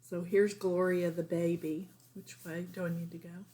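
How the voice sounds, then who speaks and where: A woman's voice: lowish voice, little pitch movement, normal speed, mellow. A woman teacher reading a children's storybook aloud, adding casual asides for young listeners.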